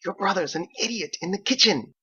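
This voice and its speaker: A hearty old mother from a storybook, put on by a male reader, gushing and blunt. The voice is pushed high and talks in a sing-song, as if to a small child, on a slightly dull recording. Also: lively intonation, a little fast, bright, forceful tone, breathy edge, exaggerated voice. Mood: confiding.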